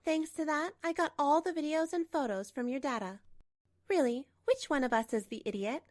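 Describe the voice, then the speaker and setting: A woman playing a composed betrayed wife in a manga dub, narrating and speaking with measured, pointed delivery. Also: high-pitched, no room sound, clean studio sound, lightly acted. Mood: coolly sarcastic. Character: betrayed wife, composed, coolly resolute, narrating her story.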